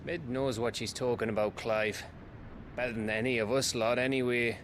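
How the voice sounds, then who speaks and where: A man acting a plain-spoken, loyal comrade in a game cutscene. His mid-pitched voice stays flat and matter-of-fact, with clear outdoor ship noise behind. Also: normal speed, close to an everyday voice.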